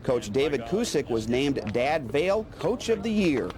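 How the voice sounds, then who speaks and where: Higher-pitched male narrator over crowd sound. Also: sing-song, a little slow. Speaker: newsreel narrator. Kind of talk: scripted narration.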